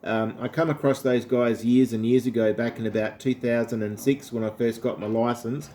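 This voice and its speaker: A man's voice: medium pitch, fairly even intonation, normal speed, dark tone, slight background noise. An Australian man talking casually and politely as an amateur radio operator. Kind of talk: casual ham radio chat.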